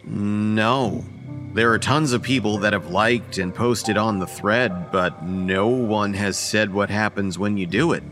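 A man's voice: lowish voice, measured pace, over music, natural voice. A man playing a casual young man who takes charge of his friends in a horror audio drama. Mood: puzzled.

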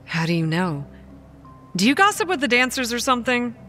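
A woman's voice: fairly high pitch, big pitch swings, fairly quick, bright, forceful tone, faint music behind. An actress playing a sarcastic, determined young woman who tells her own story in a horror audio drama. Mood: impatient, probing. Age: young adult. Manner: wry, first-person storytelling.

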